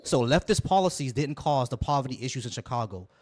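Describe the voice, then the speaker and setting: Young American male streamer, rapid and firm, holds the floor by repeating himself. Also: medium pitch, expressive, a little mellow. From Florida. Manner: repeats phrases to hold the floor, firm and insistent. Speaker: livestream debate host. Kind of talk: heated live debate.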